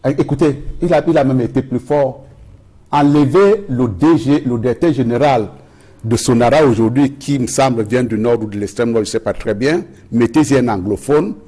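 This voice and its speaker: A man's voice: medium pitch, normal speed, dark tone, breathy edge. A man from Cameroon, a guest on a political debate panel, pressing his point and repeating phrases for emphasis.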